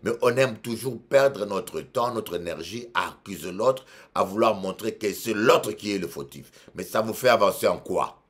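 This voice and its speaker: A Congolese-born bishop preaching in French with a Congolese accent, emphatic, repeating phrases and shifting between loud exhortation and quiet asides. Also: male voice, lowish voice, lively intonation, moderate pace. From Democratic Republic of the Congo. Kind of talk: preaching sermon.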